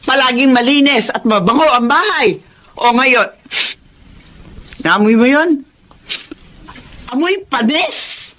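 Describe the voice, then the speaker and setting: A woman's voice: high-pitched, lively intonation, takes it slowly, pauses often, full character voice. An actress playing a nagging older mother-in-law with a Filipino accent, scolding in radio drama style. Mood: scolding, disgusted. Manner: scolding, sharp-tongued.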